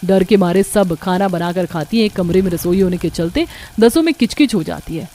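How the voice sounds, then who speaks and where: A woman's voice: fairly low pitch, a little fast, mellow. A woman reading scripted storytelling narration for a cartoon family tale.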